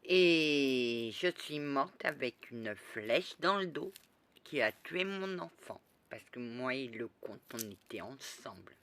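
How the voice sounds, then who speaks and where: A woman telling a rambling, lively story in a casual spoken way, full of restarts and asides. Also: extremely low pitch, slow. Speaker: storyteller recounting past lives.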